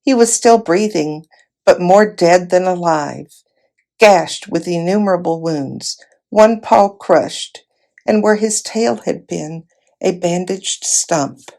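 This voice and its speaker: A woman reading a children's novel aloud as expressive narration. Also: on the low side, slow, vocal fry. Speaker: volunteer storybook reader.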